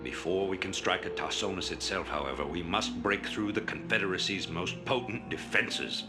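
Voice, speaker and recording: A man playing a grave, resolute rebel leader in a video game, speaking in a measured, oratorical, authoritative way. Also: sits low. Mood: solemn. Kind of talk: dramatic video game voice acting. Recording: music behind the voice.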